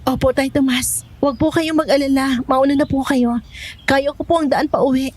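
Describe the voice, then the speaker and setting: Young rural woman, played by an actress, high voice, deferential, uneasy and hesitant, horror drama. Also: steady tone, normal speed, lightly acted. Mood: polite, evasive. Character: polite, anxious pregnant young village woman hiding fear. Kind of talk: audio horror drama acting.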